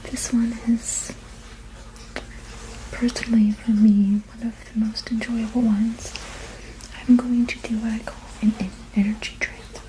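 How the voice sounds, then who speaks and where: A woman whispering gently and reassuringly in an ASMR roleplay as a soothing witch healer, with a breathy, unvoiced voice. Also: on the high side, flat delivery, a little slow, a little hiss or hum, rough recording. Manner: gentle, soothing, reassuring, repeating soft words. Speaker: ASMR performer.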